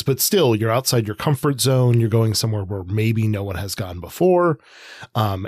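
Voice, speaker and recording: A man with a slightly low voice chats as a podcast co-host. He analyses fiction conversationally, hedging and building his thoughts as he speaks. The recording is close and dry, with little echo. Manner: hedging, loosely structured, thinking aloud.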